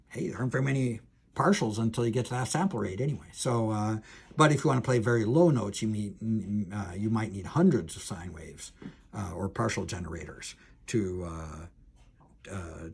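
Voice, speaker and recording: A man's voice: fairly low pitch, vocal fry, darkish tone, husky. A man lecturing as a professor on a technical subject, explaining step by step in a hesitant, thinking-aloud way with self-corrections.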